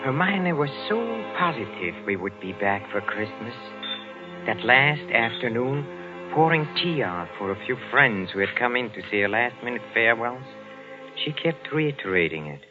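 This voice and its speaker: Man as henpecked botanist husband: meek, stammering, slyly scheming; lively pitch, vintage radio acting. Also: normal speed, over music, natural voice. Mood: brooding. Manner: hesitant, stammering, falsely deferential. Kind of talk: old-time radio drama acting. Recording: muffled old radio recording.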